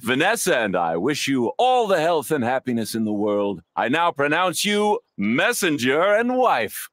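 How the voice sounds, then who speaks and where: A man's voice: on the high side, huge pitch swings, a little slow, a bit bright, no room sound, studio quality, full character voice. An actor voicing a pompous, jovial male town mayor with a theatrical, ceremonious manner in a video game. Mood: self-important. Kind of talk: video game cutscene acting.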